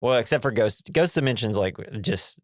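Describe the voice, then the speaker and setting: A man's voice: medium pitch, animated, normal speed, dry recording. Nasal young guy w a cold, rambly podcast chat, dry close mic. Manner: rambling, laughing, acts out scares. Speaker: podcast co-host. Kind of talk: casual movie podcast chat.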